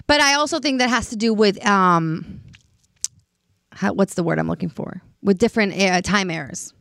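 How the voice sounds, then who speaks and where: A woman's voice: mid-range voice, normal speed, vocal fry, a bit bright, dry recording, studio quality. A woman in her late twenties co-hosting a casual podcast chat, earnest and often stopping to find her words. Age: 20s. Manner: earnest, searching for words, many restarts. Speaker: podcast co-host.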